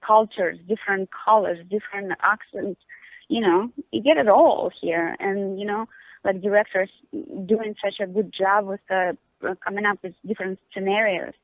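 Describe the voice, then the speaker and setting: A woman's voice: medium pitch, steady tone, normal speed, no echo. Giggly Russian woman on a phone line. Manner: giggly, chatty, leans on "like" fillers. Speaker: guest. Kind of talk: casual phone interview. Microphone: telephone.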